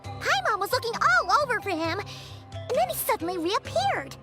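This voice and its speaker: A woman voicing a small, childlike fairy companion in a video game, squeaky and expressive. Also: normal speed. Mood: puzzled, worried. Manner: speaks of herself in third person, expressive. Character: small childlike female fairy companion, chatty, third-person talker. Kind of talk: English video game voice acting. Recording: music behind the voice. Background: game music.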